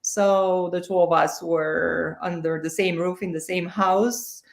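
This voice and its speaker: A woman from Romania with a Romanian accent, answering interview questions with a warm, halting manner and many restarts. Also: on the low side, fairly even intonation, gravelly, roomy sound. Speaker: guest author.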